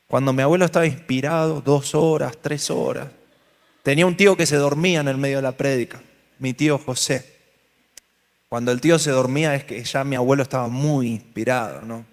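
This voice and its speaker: A man's voice: medium pitch, brisk. A man with an Argentine Spanish accent, teaching a workshop to a church audience in a joking, storytelling way and asking them questions. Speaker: pastor leading a church workshop. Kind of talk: lively workshop talk to a congregation. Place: large hall with an audience. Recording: hall reverb.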